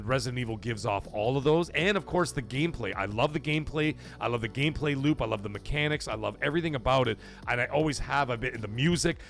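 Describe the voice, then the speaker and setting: A man's voice: medium pitch, a bit bright. A man hosting a gaming livestream, rambling casually and answering viewers from the chat by name. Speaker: livestream gaming show host.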